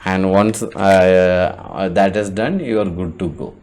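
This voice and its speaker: A man with a fairly low-pitched voice presents a software tutorial. He talks without a script, explaining each step as he goes. His delivery is halting, and he often doubles back to repeat a word or phrase. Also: fairly even intonation. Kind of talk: unscripted software walkthrough.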